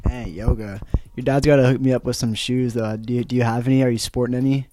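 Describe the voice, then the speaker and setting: A young man hosting a student podcast, asking relaxed, friendly interview questions. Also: mid-range voice, quick pace, no room sound, soft background music. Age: young adult. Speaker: podcast host. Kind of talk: casual interview.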